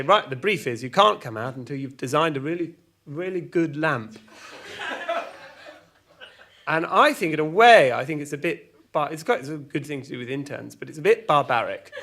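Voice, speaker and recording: A man playing a flustered, exasperated storyteller, halting and repeating himself through a comic monologue full of petty grievances. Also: a little high, a bit bright. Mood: wry, rambling. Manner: halting, repetitive, stumbling over words. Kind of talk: rambling semi-improvised comic monologue with acted argument quotes.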